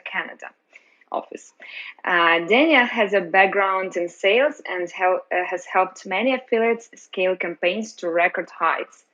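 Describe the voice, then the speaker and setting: A woman host introducing the guests from a script, measured, with the occasional hesitant uh. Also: on the low side, fairly bright tone. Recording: dull lo-fi audio chat line.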